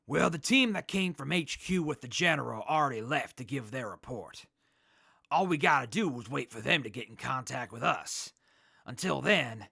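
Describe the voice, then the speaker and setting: A man acting an upbeat wasteland survivor leader in an audio drama. His slangy, reassuring delivery swings widely in pitch over a creaky voice, recorded close and dry. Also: bright, forceful tone, no room sound, heavily acted. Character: Badger Boy, upbeat hopeful male wasteland survivor leader.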